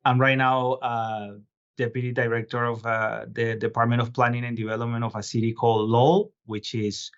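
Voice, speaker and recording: A middle-aged man from Colombia, a guest urban planner giving measured explanatory answers with frequent filler sounds. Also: medium pitch, flat delivery, smooth, dull audio. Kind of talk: podcast interview answers.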